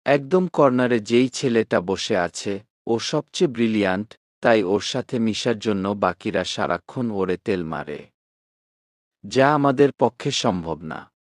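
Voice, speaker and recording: Storybook role: frank, bickering college girl, read by a man, slow pace, lively swings. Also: lowish voice, no room sound, clean studio sound. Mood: chatty, frank. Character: chatty, frank, bickering young female student. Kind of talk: storybook dialogue reading.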